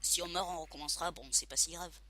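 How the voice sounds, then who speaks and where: A man's voice: on the high side, fairly even intonation, fast talker, very noisy. A man with a cold, chatting and joking as he hosts a video of a game played with a friend. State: stuffy from a cold. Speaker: gaming video host. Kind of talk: casual gaming commentary with a partner.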